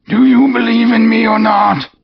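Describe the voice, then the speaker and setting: Wailing chained ghost. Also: male voice, steady tone, moderate pace, gravelly, husky, dry recording, full character voice. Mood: mournful wailing. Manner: high quavering wail, rising to cries. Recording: dull lo-fi recording with faint hiss.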